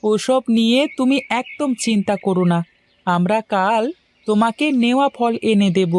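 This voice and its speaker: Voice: medium pitch, normal speed, a little mellow, close to an everyday voice. A voice actor playing an easygoing young village man in a cartoon, chatting good-naturedly. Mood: chatty. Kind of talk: cartoon voice acting.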